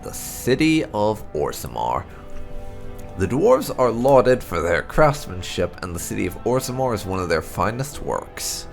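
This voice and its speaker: Deep, creaky-voiced man narrating with lively swings. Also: unhurried. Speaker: host of a game playthrough channel reading lore entries aloud. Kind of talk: expressive scripted narration, storybook-like reading of fantasy lore. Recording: music behind the voice.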